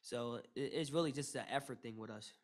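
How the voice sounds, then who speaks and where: A young man, a basketball player, answering questions at a postgame press conference into a table mic, with a steady delivery full of "you know" fillers and restarts. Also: mid-range voice, a little fast. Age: young adult. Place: press room with logo backdrop. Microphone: table mic in front.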